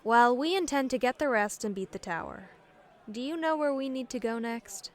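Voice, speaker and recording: Woman playing a mysterious fantasy adventurer girl, dry and skeptical, voice a bit high, audiobook drama. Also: lively intonation, normal speed, lightly acted. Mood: resolute.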